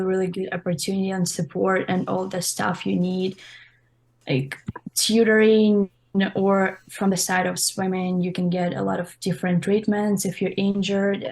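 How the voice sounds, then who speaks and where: Young Russian-accented woman, even pitch. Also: vocal fry. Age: young adult. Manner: informal, loosely phrased, frequent "like". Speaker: interview guest, college swimmer. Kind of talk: casual interview answers.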